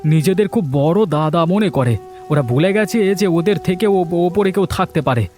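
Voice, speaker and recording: Guy doing a cartoon village husband, high voice, worked up and scolding, music bed behind. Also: expressive, normal speed, mellow. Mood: vengeful, determined. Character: aggrieved, quarrelsome village husband, vengeful and indignant. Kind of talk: Bengali cartoon dialogue acting.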